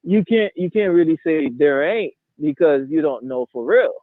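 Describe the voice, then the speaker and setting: A man's voice: a little high, expressive, moderate pace, no echo. A man from Haiti calling in, talking hesitantly with many stammering restarts. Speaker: caller. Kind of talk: casual debate. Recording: tinny phone line.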